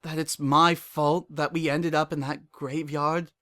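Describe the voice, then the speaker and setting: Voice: mid-range voice, flat delivery, measured pace, bright, forceful tone, pitched above the performer's own voice. A narrator voicing a young pony mare, a hurt but hopeful daughter, emotional and pleading, in a dramatic story reading. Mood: angry, hurt.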